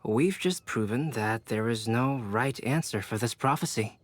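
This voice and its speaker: A voice actor playing a calm, analytical scholar in a video game, speaking in a measured, reasoning way. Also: mid-range voice, slight background noise, lightly acted.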